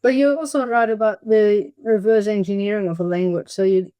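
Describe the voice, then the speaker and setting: Older woman, clear bright-edged podcast guest, non-native English. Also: medium pitch, fairly even intonation, fairly quick, no room sound. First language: not English (English is second language). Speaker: interview guest, emerita biology professor. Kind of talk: conversational interview talk.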